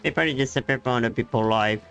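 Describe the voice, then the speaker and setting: A man giving casual live game commentary as a streamer, explaining things haltingly in non-native English grammar. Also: medium pitch, vocal fry, a bit bright. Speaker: gaming streamer. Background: faint game music.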